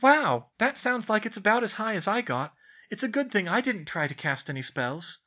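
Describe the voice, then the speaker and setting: A man voicing a clever, smug schoolgirl with a brisk, precise, explanatory way of talking in a storybook reading. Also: high voice, big pitch swings, bright, forceful tone, voice pushed up, heavily acted. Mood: smug, casual. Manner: brisk, explanatory, matter-of-fact. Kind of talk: audiobook character voice.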